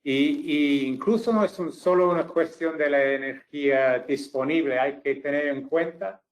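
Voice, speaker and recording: A man's voice: on the high side, normal speed. A man who is not a native speaker, presenting as a webinar panelist, hesitant and searching for words. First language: not Spanish. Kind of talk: halting expert presentation.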